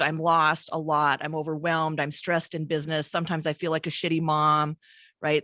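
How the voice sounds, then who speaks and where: Woman, forties, podcast host; bright tense voice, candid and profane, dead-dry studio mic. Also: low-pitched, dry recording. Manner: candid, swears freely, laughs often. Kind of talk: casual podcast chat. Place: home studio with shelves and plants. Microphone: studio mic with pop filter.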